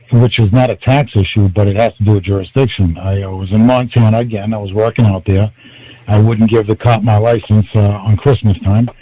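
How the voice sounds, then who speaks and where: Man, fairly low pitch, dull call audio. Casual participant, halting and self-correcting. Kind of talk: casual personal account on a conference call. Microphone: phone call. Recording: dull lo-fi conference line.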